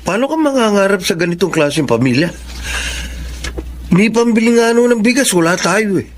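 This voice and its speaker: An actor with a Filipino accent playing a blunt, resigned eldest brother in a radio drama. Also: male voice, high-pitched, lively intonation, a little fast, a little hiss or hum. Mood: resigned, defensive.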